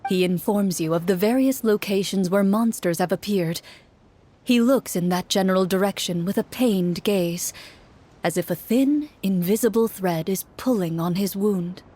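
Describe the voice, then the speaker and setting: A woman playing a composed game master who narrates a fantasy story in a measured storytelling manner. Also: mid-range voice, dark tone, slight background noise, natural voice. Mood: measured, grave.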